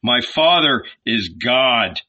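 A man voicing a resolute, distant young holy man in an audiobook, calm, solemn and measured. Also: medium pitch, highs cut off, full character voice.

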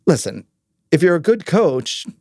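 A man's voice: on the high side, very animated, unhurried, darkish tone, no echo, clean studio sound. A man hosting an interview in a casual, conversational way, informal and affirming with his guest. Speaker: podcast host interviewing a guest.

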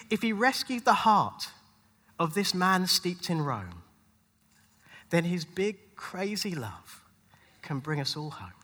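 High-pitched man preaching in a reverberant hall. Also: pushed and bright. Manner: dramatic, rhythmic, emphatic delivery with deliberate pauses. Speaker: pastor. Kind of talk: spoken-word rhyming sermon. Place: large hall at a live church event. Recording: long hall reverb, live event.

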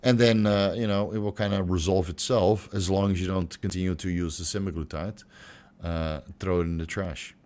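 Brief: young male host, lowish voice going hoarse and stuffy, loose and jokey, swears a lot. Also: fairly even intonation, moderate pace, a little mellow. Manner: loose, joking, swears freely. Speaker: host answering viewer questions. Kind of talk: casual livestream Q&A.

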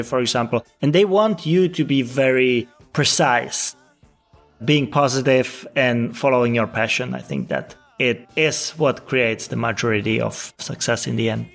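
A man in his thirties from the Czech Republic talks as a startup's chief executive. He answers interview questions at a steady, earnest pace and explains his points carefully. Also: medium pitch, a little slow, gravelly, faint music behind. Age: 30s. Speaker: startup CEO guest.

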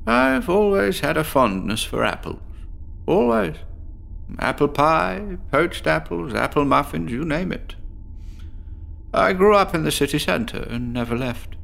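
A man's voice: fairly high pitch, creaky, fairly bright tone, heavily acted. An actor playing a chatty, nervously jovial male patient with an apple obsession, telling his story theatrically in a horror audio drama. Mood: fond, excited. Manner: theatrical, rambling, with nervous chuckles.

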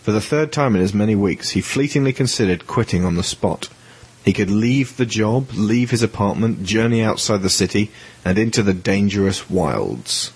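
Male narrator, deep and slightly husky, for scripted audio drama storytelling. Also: expressive, normal speed.

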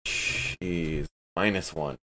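Low voiced guy playing an rpg, unhurried but super expressive, casual chat. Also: rough recording. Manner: expressive, reactive. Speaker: player in a tabletop game.

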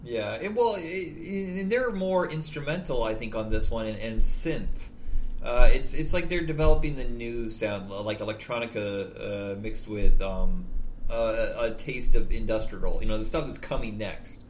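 A man's voice: medium pitch, normal speed, slight background noise. A man with a nasal, whiny voice, chatting casually as a music podcast co-host. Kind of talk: casual chat.

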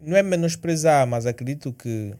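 A man's voice: a little high, expressive, a little fast, warm tone, faint music behind. Teasing, probing male host. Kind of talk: casual interview chat.

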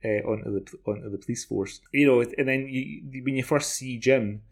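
A man in his forties with a Glaswegian Scottish accent, chatting casually as a podcast co-host in a rambling, halting way. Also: medium pitch. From Glasgow, Scotland. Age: 40s. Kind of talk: casual discussion of television.

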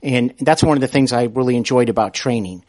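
Male podcast host, average pitch, thoughtful and a little halting, conversational questioning. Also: darkish tone. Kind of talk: conversational interview.

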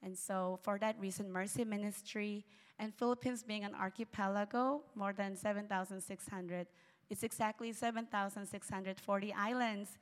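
A woman's voice: medium pitch, steady tone, moderate pace. Earnest woman speaking in a reverberant hall. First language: Tagalog. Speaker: missionary. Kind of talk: church mission presentation.

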